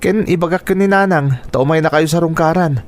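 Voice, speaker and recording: A man's voice: fairly high pitch, a little fast, close to an everyday voice. A man playing a caring young nephew in a radio drama, earnest, concerned and respectful.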